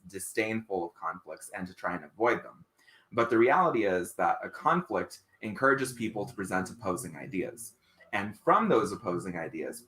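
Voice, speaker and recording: Solo streamer, male, first language Spanish, lowish voice, loses his thread and uses filler words. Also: bright, forceful tone, poor audio. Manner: loses his train of thought and reacts to chat comments. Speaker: live-stream host. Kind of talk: solo live stream talk.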